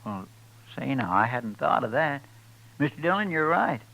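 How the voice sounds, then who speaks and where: An actor playing a gullible, deferential marshal's helper in a 1950s radio western, with a folksy rural American drawl. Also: male voice, medium pitch, sing-song, moderate pace, vocal fry, bright, forceful tone, full character voice, rough recording. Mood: surprised, gullible. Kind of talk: 1950s radio drama acting.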